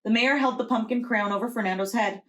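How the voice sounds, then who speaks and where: A woman preschool teacher reading a children's storybook aloud in a deliberate, expressive storytelling way. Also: fairly high pitch, slight background noise.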